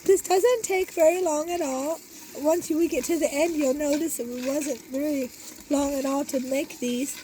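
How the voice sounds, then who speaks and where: High-voiced woman losing her voice a bit, chatty how-to outdoors on a back deck, phone held close, faint outdoor hiss. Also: steady tone, unhurried, warm tone, poor audio. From Iowa, US. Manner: chatty, explains step by step with many restarts. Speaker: homesteader and beekeeper. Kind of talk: casual how-to cooking demonstration. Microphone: phone camera held close.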